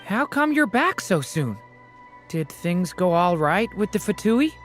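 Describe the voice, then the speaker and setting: A man playing an earnest, gentle and troubled young mage in a video game, thoughtful in manner. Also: high-pitched, sing-song, measured pace, faint music behind, heavily acted. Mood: curious. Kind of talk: scripted video game dialogue.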